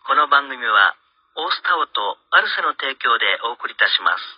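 A man's voice: medium pitch, sing-song, moderate pace, husky. A man hosting and narrating on a radio program, presenting in a scripted style. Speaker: radio host and drama narrator. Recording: dull lo-fi radio sound, faint music.

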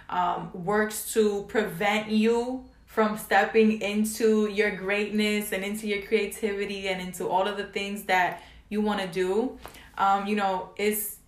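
Encouraging twenty-something woman host. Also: mid-range voice. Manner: encouraging, affirming, frequent "you know" and "right". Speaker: podcast host. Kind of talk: casual chat. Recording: echoey room.

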